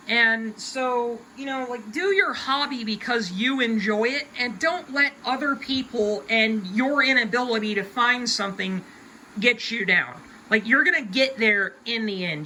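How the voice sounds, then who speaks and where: A woman's voice: on the high side, expressive, unhurried, sharp tone, slight background noise, poor audio. A woman of about twenty giving hobby advice in a casual, unscripted rant, with an emphatic, folksy, colloquial way of talking.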